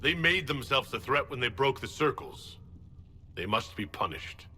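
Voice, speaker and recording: A man's voice: mid-range voice, big pitch swings, normal speed, sharp tone, slight background noise. A man playing a stern, unyielding inquisition leader in a video game, speaking firmly and formally. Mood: cold, distrustful. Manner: firm, formal, commanding. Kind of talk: video game cutscene acting.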